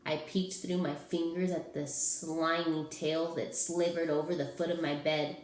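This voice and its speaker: Woman storybook narrator: expressive delivery, slow pace, medium pitch, roomy echo. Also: slight background noise. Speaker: teacher reading aloud. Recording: roomy, echoing sound.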